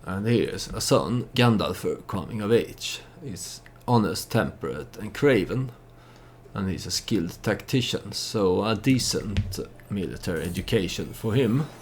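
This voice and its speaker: A man giving unscripted, storytelling commentary on a game he plays, halting as he thinks aloud and speaks for his character. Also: on the low side, animated, a little hiss or hum. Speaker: let's play host.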